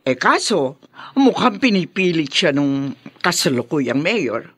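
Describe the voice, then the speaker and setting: Proud, animated domineering Filipino mother. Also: deep voice, slow, husky, no echo, heavily acted. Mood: boastful, proud. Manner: emphatic, preachy, boastful. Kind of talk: Filipino radio drama acting.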